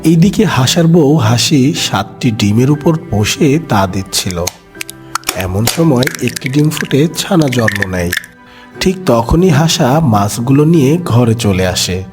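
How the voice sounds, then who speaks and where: A man with a mid-range voice delivers scripted children's story narration. He works as the narrator, with music clearly playing behind him. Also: medium pitch, expressive, slow pace.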